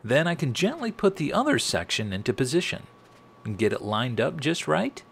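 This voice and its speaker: A man's voice: mid-range voice, very animated, moderate pace, gravelly, fairly bright tone, slight background noise. A middle-aged American man narrating a scripted woodworking tutorial in a wry, tongue-in-cheek manner. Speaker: host of a woodworking channel.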